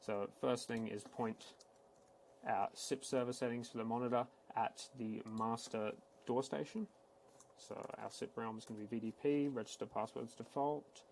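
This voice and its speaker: A man giving a casual, matter-of-fact, step-by-step software walkthrough, speaking unscripted like a tech support instructor. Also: medium pitch, slight background noise. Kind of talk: screen-recorded software tutorial, unscripted walkthrough.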